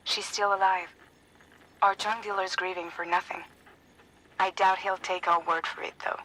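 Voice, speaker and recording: Game courier operator: cool, dry, casual, with a crisp bright tone and very flat intonation. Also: female voice, on the low side, full character voice, poor audio. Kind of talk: video game radio message.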